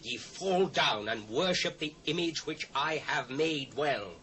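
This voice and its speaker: A man's voice: a little high, expressive, a little slow, sharp tone. A British actor playing a proud, commanding king of the ancient world in a dramatised scripture reading, declamatory and imperious. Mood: imperious, threatening. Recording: faint hiss, old cassette transfer.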